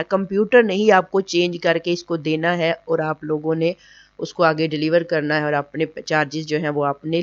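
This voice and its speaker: Woman, tutorial host, hoarse throat, flat and patient, lo-fi dry mic. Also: fairly low pitch, no echo. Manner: keeps checking in with "okay?" and repeats points patiently. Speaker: online earning tutorial host. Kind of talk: casual screen tutorial.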